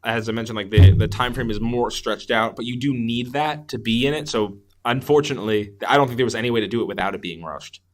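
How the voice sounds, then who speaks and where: A man's voice: mid-range voice, fast talker, few pauses. Conversational man, a hedging podcast co-host. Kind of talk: casual movie discussion chat.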